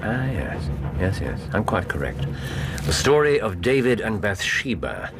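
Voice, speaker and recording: An actor playing a brilliant, self-assured English gentleman detective in a radio drama, with a clipped, wry, musing manner. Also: fairly low pitch, very animated, measured pace, loud music behind the voice.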